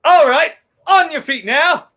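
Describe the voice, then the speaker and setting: A man's voice: very high-pitched, measured pace, pushed and bright, exaggerated voice. A man playing a brash, sardonic, commanding fugitive in a 1930s radio play. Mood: sardonic menace. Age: young adult. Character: brash wrongly accused man on the run, sardonic, urgent.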